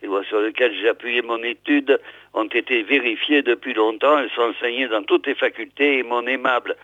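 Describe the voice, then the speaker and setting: A hoarse man presents a local radio programme, reading old articles aloud in a halting way full of fillers. His voice sits at a middle pitch, and it comes through thin and phone-like, with a dry, close sound. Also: fairly even intonation, no room sound. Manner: hesitant, many fillers and restarts. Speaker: radio host.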